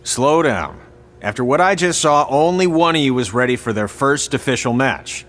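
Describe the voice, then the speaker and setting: An actor playing a sarcastic, blunt veteran wrestling trainer in video game dialogue. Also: male voice, medium pitch, lively intonation, moderate pace, a bit bright, close to an everyday voice.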